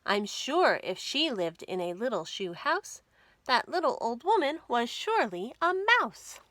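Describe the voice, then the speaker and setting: A woman hosting a children's storytime, reading stories and nursery rhymes aloud in an expressive, clearly enunciated way for young listeners. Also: on the high side, measured pace, no room sound. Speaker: children's storytime host at a library.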